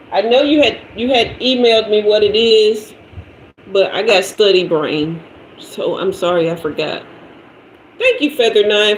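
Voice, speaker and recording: A woman in her fifties hosting a tarot livestream, chatting loosely with viewers in a slangy, conversational way. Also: medium pitch. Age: 50s. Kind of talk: casual livestream chat reading comments. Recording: roomy echo with faint noise.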